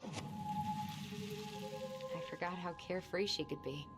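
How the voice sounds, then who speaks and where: A woman's voice: fairly low pitch, steady tone, measured pace, echoey room, long reverb tail, over loud music, close to an everyday voice. A woman playing a guarded, skeptical young woman in a naturalistic video game cutscene. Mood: pensive.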